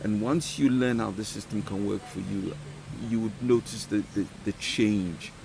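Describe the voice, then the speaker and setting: Male interview guest, lowish voice, thoughtful, restarts often, grew up in Ghana. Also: moderate pace, creaky, background noise. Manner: thoughtful, many restarts and fillers. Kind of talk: podcast interview.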